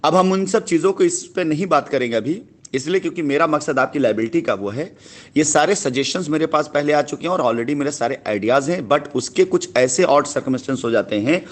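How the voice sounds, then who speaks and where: A man's voice: a little high, lively intonation, a little fast. A man speaking as a company head on a phone call, explaining himself insistently and repeating his phrases. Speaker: company head answering a customer. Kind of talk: phone conversation, persuasive explaining.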